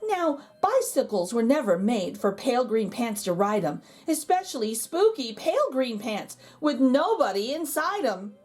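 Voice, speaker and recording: A woman's voice: a little high, sing-song, moderate pace, hardly any pauses, darkish tone. A woman voicing a jittery, easily frightened picture-book narrator, telling a rhyming tale with suspenseful, dramatic emphasis.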